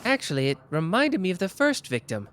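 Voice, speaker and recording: A man voicing a sharp, playful young detective in an ASMR roleplay, teasing and quick-witted. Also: sits high, wildly swooping pitch, slight background noise, full character voice. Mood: probing. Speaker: voice actor.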